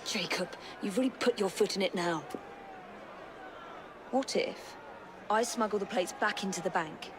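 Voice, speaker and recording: An actress voicing a composed young woman assassin, crisp, level and lightly wry. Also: mid-range voice, unhurried, long pauses, close to an everyday voice. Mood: calm. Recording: game cutscene over loud street crowd and noise.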